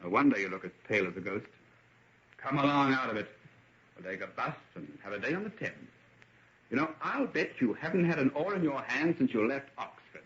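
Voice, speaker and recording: Casting: male actor as jovial young British gentleman, animated bantering delivery, old radio drama, dull vintage sound. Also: medium pitch, fairly quick, natural voice. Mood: jovial, teasing. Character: hearty, teasing young English gentleman, fun-loving old friend. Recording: dull, band-limited vintage radio recording.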